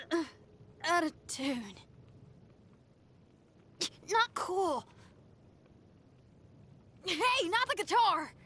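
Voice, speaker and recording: A woman's voice: very high voice, a bit bright, exaggerated voice. An actress voicing an upbeat, brash young female rock musician in a video game, with slangy, exuberant, hammy delivery. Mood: pained, dismayed. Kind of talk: video game character voice lines.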